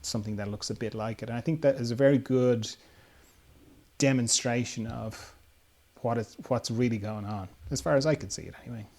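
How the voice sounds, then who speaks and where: A man hosting a podcast in casual conversation, rambling and halting as he thinks aloud. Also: mid-range voice, steady tone, a little mellow. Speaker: podcast host. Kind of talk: casual conversation, reading listener questions.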